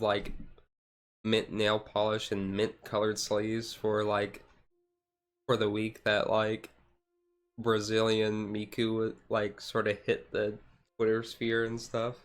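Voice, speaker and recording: A man streamer chatting casually in a chill, low-key livestream, rambling along with hesitant pauses. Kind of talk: casual chat, ASMR-style chill livestream.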